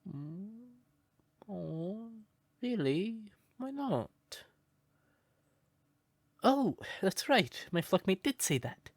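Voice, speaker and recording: Man acting a shy, slow-spoken crow turned human. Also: high-pitched, no room sound, exaggerated voice. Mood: shy, curious, then curious, innocent. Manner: hesitant, stammering, gentle and breathy. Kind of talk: soft roleplay audio, intimate character acting.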